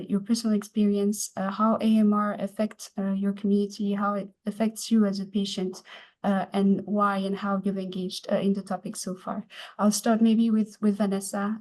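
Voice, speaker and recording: A woman moderating an online panel, speaking haltingly with a constant "uh" between phrases. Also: medium pitch, mellow, rough recording. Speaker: webinar moderator.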